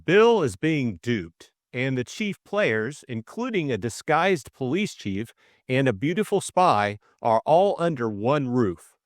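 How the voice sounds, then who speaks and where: A man's voice: medium pitch, big pitch swings, takes it slowly, dry recording, clean studio sound. A man reading a scripted story recap and novel as an audiobook co-narrator, in dramatic style.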